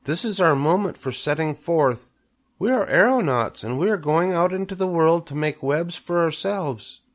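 A man's voice: a little high, expressive, moderate pace, pitched above the performer's own voice. A man voicing tiny young female spiders in a storybook, dainty, polite and eager. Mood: breezy, proud.

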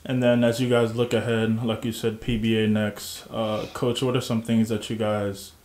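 Man asking post-game questions, low steady voice, roomy echo. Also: normal speed. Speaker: press conference moderator. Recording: echoey room.

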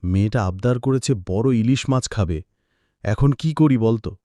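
A man's voice: lowish voice, normal speed, dark tone, no room sound, clean studio sound, natural voice. A man playing a poor, anxious village fisherman in a cartoon, earnest and dramatic. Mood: worried. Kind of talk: cartoon voice acting.

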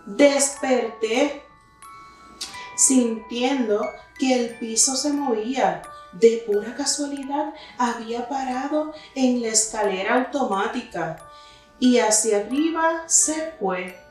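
A young adult woman reading a picture book aloud to children in an expressive, deliberate storytelling style. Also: on the high side. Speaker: children's story reader, teacher. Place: indoor room with wooden cabinet and plants. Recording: very echoey room, distant mic, faint music.

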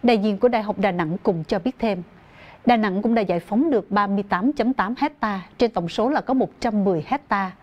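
A woman's voice: mid-range voice, brisk, lo-fi sound. A young woman reading scripted news formally as an anchor in a TV studio.

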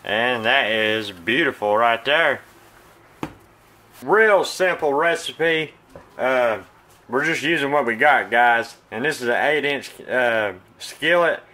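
A man in his thirties with a Southern American accent, casually walking viewers through cleaning and pan-frying a fish in his home kitchen, recorded on a phone. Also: medium pitch. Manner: folksy, relaxed, talks to viewers as "guys". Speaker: cooking channel host. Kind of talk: casual cooking demonstration. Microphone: phone camera.

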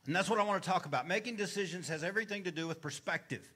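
High-voiced middle-aged preacher man. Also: rapid-fire, pushed and bright, husky. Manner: preaches with call-and-response questions to the congregation. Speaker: pastor. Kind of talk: sermon. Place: church stage with brick wall. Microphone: handheld mic.

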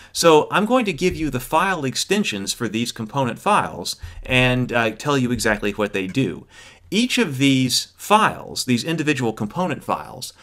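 A man in his thirties teaches in a mid-pitched voice with lively, varied intonation. He explains things like an instructor on video, stressing key points and rephrasing them so they are clear.

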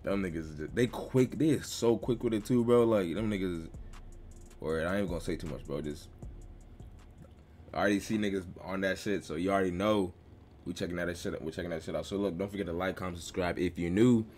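A man's voice: sits low, fairly quick, darkish tone, slight background noise. A young man hosting a reaction video from his bedroom, talking in loose slang and laughing often. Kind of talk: casual reaction commentary. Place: small bedroom with gaming chair.

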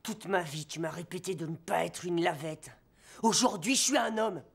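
A man's voice: sits high, normal speed, vocal fry, husky, exaggerated voice. An actor with a French accent playing a meek, nervous young male student in a dubbed comedy film. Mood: defiant. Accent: French (France). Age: young adult.

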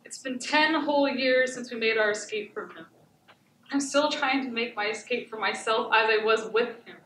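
A young woman playing a wounded but resolute survivor of domestic abuse in a dramatic stage monologue, with deliberate, declamatory phrasing. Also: fairly high pitch, a bit bright, natural voice. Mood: somber, resolute. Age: young adult. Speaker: high school stage actor. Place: indoor theatre stage, far camera. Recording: echoey theatre, distant mic, faint noise.

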